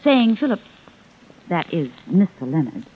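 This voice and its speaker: A woman's voice: fairly low pitch, sing-song, a little slow, narrow, tinny audio. A woman playing a self-assured young actress in a 1950s radio drama, coy and insinuating with pointed hesitations. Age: young adult. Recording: thin noisy vintage radio recording.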